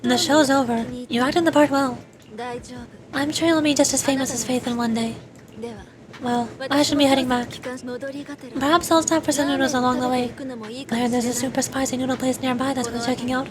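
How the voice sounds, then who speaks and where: Woman as a composed, high-voiced female soldier. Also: steady tone, a little fast, crowd noise, close to an everyday voice. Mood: calm, friendly. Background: music.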